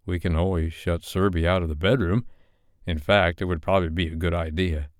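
A man playing a laid-back, reassuring photographer and lover in a romance audiobook, speaking in a calm, coaxing way. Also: deep voice, a little fast, clear, resonant tone, no room sound, clean studio sound, close to an everyday voice. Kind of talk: romance audiobook character dialogue.

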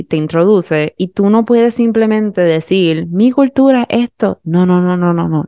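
A woman with a Puerto Rican accent chatting casually as a podcast guest, expressive and slipping English phrases into her Spanish. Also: mid-range voice, smooth, no room sound, studio quality. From Puerto Rico. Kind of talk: casual chat, telling travel anecdotes.

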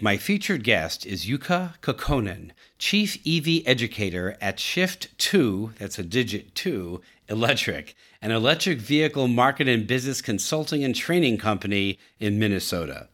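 A man's voice: mid-range voice, fairly bright tone. Middle aged podcast host guy, polite and earnest, reading his intro. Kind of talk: scripted intro, then interview.

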